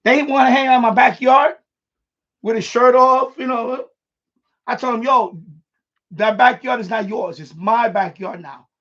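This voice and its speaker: A man with a husky voice, telling stories in a blunt, repetitive, sweary way on a casual live stream. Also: very high-pitched, moderate pace, pauses often. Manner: blunt, profane, repeats himself, animated. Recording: dull, lo-fi livestream audio.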